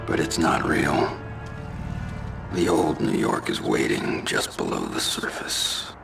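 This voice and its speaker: An actor playing a grim, middle-aged vigilante antihero in a video game, terse and deadpan in a gravelly hush. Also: male voice, extremely low pitch, slow pace, exaggerated voice. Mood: grim, brooding. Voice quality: gravelly, hushed. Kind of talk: noir video game cutscene acting and narration. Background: scary music.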